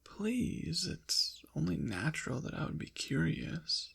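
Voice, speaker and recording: A man voicing a haughty, possessive ancient demon in an intimate ASMR roleplay, with formal, deliberate diction and a breathy, gravelly whisper. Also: fairly high pitch, big pitch swings, a bit bright, exaggerated voice. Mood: teasing, possessive. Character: possessive ancient male demon, haughty, teasing, quietly softening. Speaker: audio roleplay performer.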